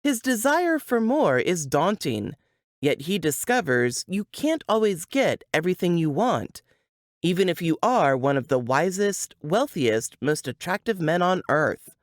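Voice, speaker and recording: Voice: mid-range voice, sing-song, unhurried, no echo, clean studio sound. An adult voice reading a book blurb as scripted narration in a dramatic style.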